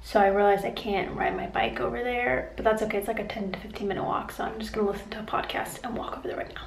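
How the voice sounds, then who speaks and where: A woman's voice: fairly high pitch, steady tone, quick pace, creaky, in a whisper. A young woman from the United States chatting casually to camera in a vlog, upbeat and rambling with plenty of "likes.". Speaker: vlogger. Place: at home and out and about. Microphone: handheld compact camera.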